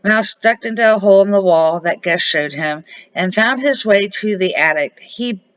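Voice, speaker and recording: Casting: grandmotherly woman, middle-aged or older, bright voice, deliberate expressive storybook read, dull home recording. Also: medium pitch. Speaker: grandmother reading to children, series host. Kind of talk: read-aloud children's storybook narration.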